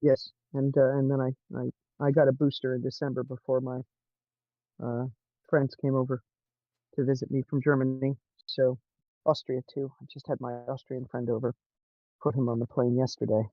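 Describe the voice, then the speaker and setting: A man from California with an American accent, chatting casually in a halting, hesitant way. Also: medium pitch, flat delivery, no echo, muffled recording. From California, United States. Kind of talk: casual chat.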